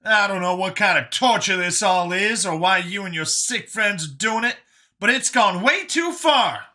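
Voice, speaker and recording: A man's voice: high-pitched, animated, moderate pace, sharp tone, voice pushed up, heavily acted. A man voicing a hostile, indignant man in a story, ranting accusingly and emphatically. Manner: agitated, emphatic, foul-mouthed ranting.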